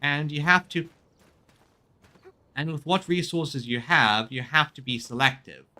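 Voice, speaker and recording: A man streaming a game, chatting casually in a halting way, often repeating and rephrasing himself mid-sentence. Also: a little high, pushed and bright. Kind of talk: casual chat commentary on a game. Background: music in the background.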